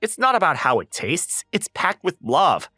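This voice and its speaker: A man playing a flustered, introverted high school boy and aspiring writer in an anime-style comic dub, animated and prone to exasperated lecturing. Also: moderate pace, no room sound, clean studio sound, heavily acted. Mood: confident lecturing.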